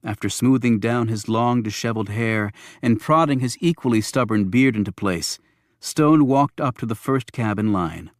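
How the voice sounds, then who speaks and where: A man's voice: medium pitch, expressive, normal speed, dry recording, clean studio sound. A man narrating a thriller audiobook from a script.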